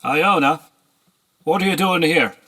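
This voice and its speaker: A man's voice: fairly high pitch, measured pace, pushed and bright, pitched above the performer's own voice. A man reading a storybook, voicing a cold, sly nobleman on horseback who speaks in a brusque, commanding, probing way. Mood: bored, probing.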